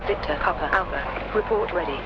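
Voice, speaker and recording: A man voicing a brisk, official air traffic controller in a simulator game, giving clipped, formal instructions over the radio. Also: a little high. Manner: clipped, procedural. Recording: dull radio channel, loud engine noise.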